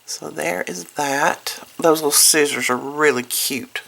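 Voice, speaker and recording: A hoarse woman recovering from illness, hosting a casual craft product showcase and chatting as she handles each item. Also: low voice, fairly bright tone, rough recording. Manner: unhurried, chatty, commenting piece by piece. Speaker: host of a craft product video. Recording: clear background noise, paper crinkling and clicks. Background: crinkling paper and packaging.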